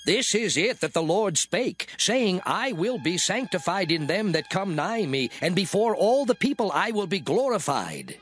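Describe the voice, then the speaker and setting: An actor playing a solemn, commanding Hebrew prophet in a dramatized scripture reading, declaiming with emphasis. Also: male voice, high-pitched, very animated, moderate pace, few pauses, fairly bright tone. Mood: solemn, grave. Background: music.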